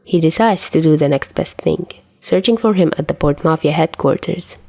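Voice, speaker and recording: A woman reading fan fiction aloud as an expressive scripted story narrator. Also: low-pitched, moderate pace, vocal fry. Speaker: podfic narrator.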